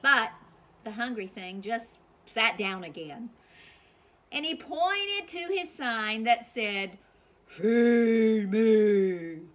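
A middle-aged woman reading a children's storybook aloud outdoors, with expressive, deliberate, theatrical emphasis. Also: fairly high pitch. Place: outdoors on a porch swing.

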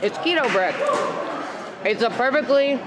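A chatty, excitable man vlogging casually inside a large sports hall at a wrestling show, filmed on a handheld camera. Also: very high-pitched, measured pace. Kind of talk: casual chat. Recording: loud crowd behind, camera mic. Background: loud crowd chatter.

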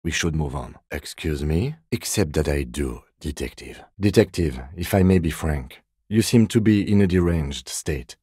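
An actor playing a composed, dry-witted middle-aged police detective in a video game, speaking in a measured, formal, deadpan way. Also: male voice, extremely low pitch, breathy edge, no room sound, full character voice. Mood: stern, formal. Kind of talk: video game character dialogue.

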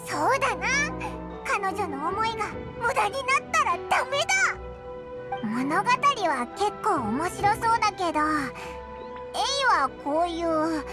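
Squeaky lil fairy sidekick, chatty n blunt, super high bouncy anime game voice. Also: female voice, big pitch swings, slow, full character voice. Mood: excitable, musing. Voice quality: squeaky, childlike. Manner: blunt, casual, tomboyish speech. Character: small childlike floating fairy companion, chatty, excitable, blunt. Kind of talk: anime video game voice acting. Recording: game music behind the voice.

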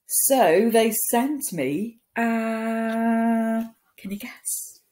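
A woman in her forties reads a picture book to young children as a teacher would, expressive and playful, with dramatic pauses and hushed asides, recorded in a room at home. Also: fairly high pitch. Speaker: primary school teacher reading a picture book. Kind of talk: animated children's story reading. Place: small room at home, indoors.

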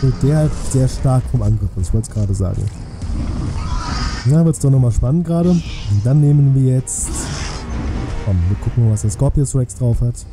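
A male streamer with a mid-pitched voice gives casual commentary on a game. He thinks aloud in colloquial, chatty phrasing as he plays. Music is clearly audible behind him. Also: normal speed. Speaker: live streamer hosting a gaming stream. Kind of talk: casual live game commentary with chat replies. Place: small indoor home streaming room.

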